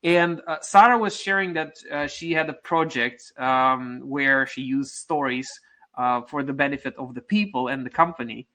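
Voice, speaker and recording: A man's voice: medium pitch, moderate pace, sharp tone. A man hosting a conference interview, friendly and casual, with many fillers. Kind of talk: conversational interview.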